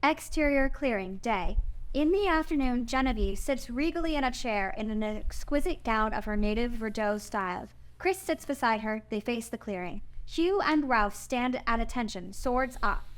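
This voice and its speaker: A woman with a fairly high-pitched voice narrates. She reads screenplay scene headings and action in a scripted, descriptive style. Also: animated, normal speed, clean tone. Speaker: narrator reading stage directions.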